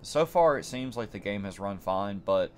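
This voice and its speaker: A man's voice: fairly low pitch, lively intonation, moderate pace, darkish tone, a little hiss or hum. A man casually commentating his gameplay with a stuffy nose, rambling and repeating words as he thinks. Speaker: let's play host.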